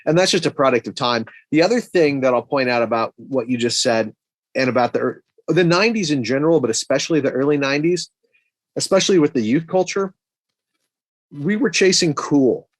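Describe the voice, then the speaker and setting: A man's voice: mid-range voice, expressive, brisk, no echo. A middle-aged man co-hosting a podcast, chatting casually and offering commentary. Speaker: podcast co-host.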